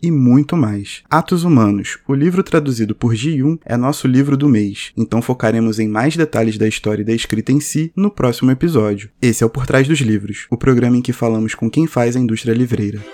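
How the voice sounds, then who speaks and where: A man with a Brazilian accent narrates as a podcast host. His voice sits at an average pitch, and his delivery is that of a prepared, scripted introduction. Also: fairly quick, few pauses, a little mellow.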